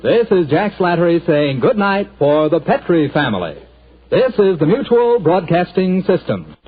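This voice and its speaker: A male radio announcer in 1940s style, reading ads in a smooth, cheerful, salesy way. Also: high voice, sing-song, moderate pace, slight background noise. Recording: tinny 1940s radio broadcast.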